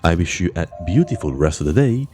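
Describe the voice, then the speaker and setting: A young man with a cold talks through a game guide in a low, mellow voice. He explains things steadily and methodically, and his sick voice sounds slightly off. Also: fairly quick, soft background music. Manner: steady explanatory, then loose chatty sign-off. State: has a cold, voice off. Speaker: gaming channel host. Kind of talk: scripted game guide narration.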